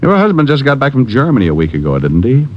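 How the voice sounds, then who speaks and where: A man's voice: medium pitch, wildly swooping pitch, quick pace. A man playing a glib, wry private detective in an old-time radio drama, both narrating and in dialogue. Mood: breezy, teasing. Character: wisecracking private detective, breezy, easily flustered by flirting. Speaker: lead actor and first-person narrator. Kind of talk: 1940s-50s radio detective acting and narration. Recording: muffled old radio recording.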